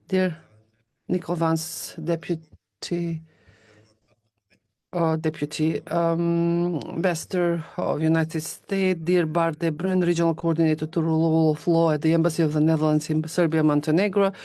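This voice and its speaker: A woman's voice: low-pitched, flat delivery, normal speed, dark tone, no echo. A woman interpreting a formal speech, with frequent fillers and self-corrections.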